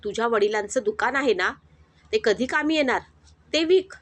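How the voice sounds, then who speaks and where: A sly friend who talks someone into a bad plan, as a woman narrator puts him on. His voice is coaxing and insistent, and sits fairly high. He is a figure in a spoken moral tale. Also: animated, moderate pace. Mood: urging. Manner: coaxing, giving advice. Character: sly scheming male friend, adult.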